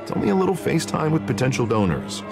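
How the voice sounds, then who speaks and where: A man's voice: fairly high pitch, sing-song, quick pace, creaky. An American man acting as an upbeat, polished district attorney running for mayor in a video game. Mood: coaxing, earnest. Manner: polished, jovial speechmaking. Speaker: politician campaigning for mayor. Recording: music behind the voice.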